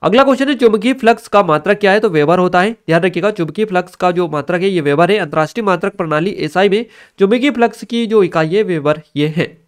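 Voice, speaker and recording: A man's voice: high-pitched, fast talker. A man giving an explanatory exam-review lecture as a teacher, instructive and repeating key points for emphasis.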